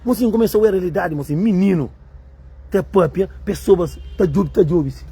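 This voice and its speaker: Husky woman ranting at her viewers. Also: lowish voice, fairly quick, warm tone. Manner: rambling and repetitive. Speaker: video creator.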